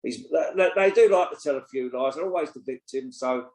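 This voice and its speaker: A man's voice: medium pitch, brisk, a little mellow. Blunt, opinionated man in his sixties. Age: 60s. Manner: blunt, opinionated, swears freely. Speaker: podcast co-host and pundit. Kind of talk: casual chat. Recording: roomy echo.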